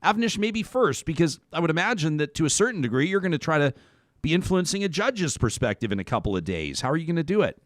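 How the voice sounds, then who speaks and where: A man hosting a conversational interview, speaking haltingly and often repeating words as he rephrases. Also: a little high, very animated, no echo, studio quality. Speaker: talk show host.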